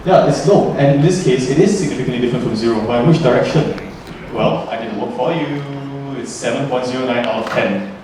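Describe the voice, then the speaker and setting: A man's voice: medium pitch, a little fast, darkish tone, a little hiss or hum. Young man presenting in an echoey hall. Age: young adult. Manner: jokey, chatty storyteller. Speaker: student presenter. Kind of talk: lively presentation to a class. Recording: roomy echo from far off.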